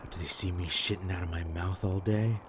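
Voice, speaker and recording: A man acting a worn-out, surly ex-addict in a zombie apocalypse audio drama, gruff and exasperated. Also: low voice, fairly even intonation, fairly quick, a little hiss or hum, lightly acted. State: weak, worn out.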